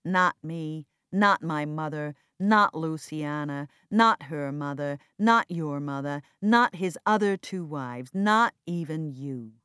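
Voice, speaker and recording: A woman's voice: low-pitched, takes it slowly, hardly any pauses, fairly bright tone, clear, resonant tone, dry recording, studio quality. A woman playing a cold, flippant and bitter grown son in an audiobook drama, with a detached, sardonic and dismissive manner.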